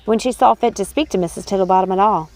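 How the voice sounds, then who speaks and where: A woman's voice: mid-range voice, fast, a little mellow, soft background music, low-quality recording. A woman reading scripted storybook narration as an audiobook narrator.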